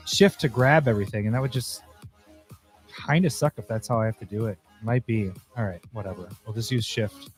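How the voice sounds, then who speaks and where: A man hosting a livestream talks casually as he works, his voice a little hoarse. He thinks aloud and grumbles when things go wrong. Faint music plays quietly behind him. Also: lowish voice, moderate pace. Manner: chatty, easily annoyed, thinking aloud. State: slightly hoarse, losing his voice. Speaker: host. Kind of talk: casual livestream commentary while editing.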